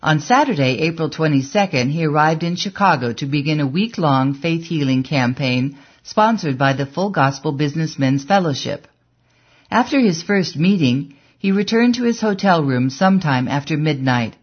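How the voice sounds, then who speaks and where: An audiobook narrator reading a story in a measured, even way, on a dull, lo-fi recording. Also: mid-range voice. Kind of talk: scripted narration.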